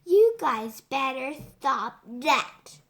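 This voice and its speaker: An earnest, rule-following schoolgirl from a storybook, read by a young girl, insistent and quick to protest. Her voice is very high with animated pitch. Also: full character voice. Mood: warning. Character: earnest, well-behaved schoolgirl, easily indignant.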